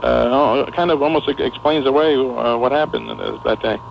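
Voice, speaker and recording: Gravelly, hesitant male radio caller. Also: mid-range voice, fairly quick. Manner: hesitant, full of uhs and restarts. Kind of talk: phone-in radio chat. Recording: muffled AM radio broadcast with faint hiss.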